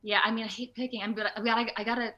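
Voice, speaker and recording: A woman chatting casually and informally as an actor guest in an interview, in an animated, conversational way. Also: a little high, rapid-fire, dull audio. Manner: animated, chatty, informal with frequent "like" and "you know". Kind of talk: casual video interview chat. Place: at home, indoors on a video call.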